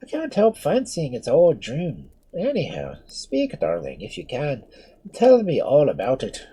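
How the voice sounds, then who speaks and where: A man voicing a portly, cheerful Irish friar with a brogue, loud and fussing. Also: fairly high pitch, huge pitch swings, measured pace, slightly rough, pitched above the performer's own voice, full character voice. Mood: fatherly coaxing. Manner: loud, bustling, fretful.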